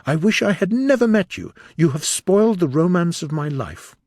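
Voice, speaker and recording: Picture a cruel young gentleman, proud and contemptuous, as a man reads him aloud. The voice climbs high and swings widely as he rages. The sound is close and dry. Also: sing-song, normal speed, no echo. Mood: scornful fury. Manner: impassioned, scornful declamation.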